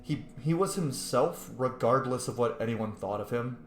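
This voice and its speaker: A man doing informal roleplay commentary on a game for his viewers. His voice is medium in pitch and blunt in delivery, and it sounds noticeably roomy. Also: expressive, moderate pace. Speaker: gaming video creator. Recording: roomy echo on the voice.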